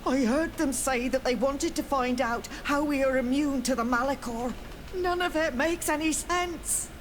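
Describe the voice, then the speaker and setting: A woman's voice: high-pitched, a little hiss or hum, lightly acted. A woman playing a pleading, mistreated prisoner in a video game. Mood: pained, weary. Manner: slow, pleading. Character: pleading captive woman, abused by experimenting scientists.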